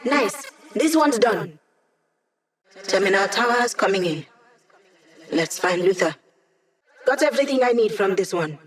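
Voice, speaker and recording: A cheeky young female hacker played by a woman guides a video game squad as their digital helper. Her delivery is breezy and wry, with a teasing, techy wit and a mid-range pitch. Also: natural voice. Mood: focused, eager. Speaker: mission support character in a video game.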